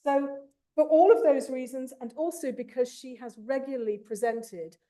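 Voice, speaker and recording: A woman giving a formal awards speech, enthusiastic and warm, with small asides to the audience. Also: high voice, moderate pace. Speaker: awards presenter.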